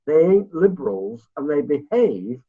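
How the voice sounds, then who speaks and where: A man's voice: fairly high pitch, big pitch swings, unhurried, breathy edge. A long-winded, opinionated man co-hosting a political interview podcast in a conversational style. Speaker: podcast co-host. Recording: tinny narrow phone-like line.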